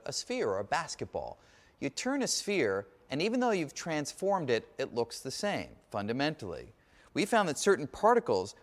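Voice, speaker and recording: A man giving an explanatory science lecture in halting, emphatic phrases, as a lecturer would. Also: fairly high pitch. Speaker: physics lecturer. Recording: echoey hall reverb.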